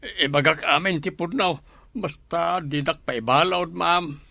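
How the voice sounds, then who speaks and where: Nervous detainee guy, raspy fry, tense, pleading, ilocano accent, radio drama. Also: a little high, measured pace, sharp tone, dry recording, exaggerated voice. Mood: wary, pleading. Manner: pleading, deferential. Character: nervous, pleading male detainee turning informer.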